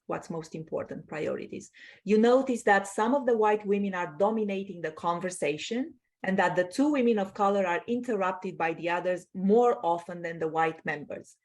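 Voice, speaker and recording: A woman's voice: mid-range voice, moderate pace, a little mellow. A woman from Romania teaching an online class over a video call, explaining patiently and checking that her students follow.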